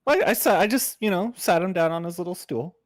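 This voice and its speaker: A man's voice: sits high, expressive, brisk. A man chatting casually as a player in a tabletop game.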